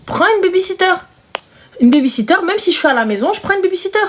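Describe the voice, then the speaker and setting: A woman's voice: very high-pitched, animated, fast, a little hiss or hum. A single mother hosting a live stream, chatting casually and emphatically with slangy speech and repeated phrases.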